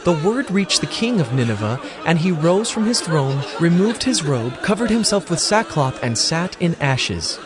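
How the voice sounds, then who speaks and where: A man's voice: fairly high pitch, big pitch swings, moderate pace, few pauses, crowd noise. A man narrating scripture from a script in a dramatic storytelling style.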